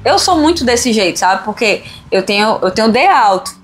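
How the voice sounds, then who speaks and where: A woman's voice: on the high side, sing-song, normal speed. A woman hosting a casual podcast chat in an informal, teasing manner. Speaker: podcast host. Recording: music playing behind the voice. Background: music.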